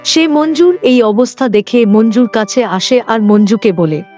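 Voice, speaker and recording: A woman's voice: on the high side, unhurried, dark tone, dull audio, faint music behind. A woman reading scripted narration for a cartoon folk story.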